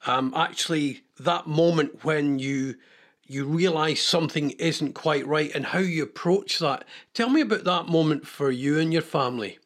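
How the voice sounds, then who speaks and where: A man's voice: a little high, a bit bright. Older man stumbling over words. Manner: halting, repeats words. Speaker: podcast co-host. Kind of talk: casual chat.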